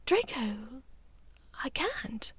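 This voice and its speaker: A middle-aged noble witch mother, as a female narrator puts her on, composed and cunning. Her voice is high and often breathy, with hushed, deliberate phrasing. It is recorded close and dry, like an audiobook. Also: sing-song, no room sound, heavily acted. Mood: hushed, grave.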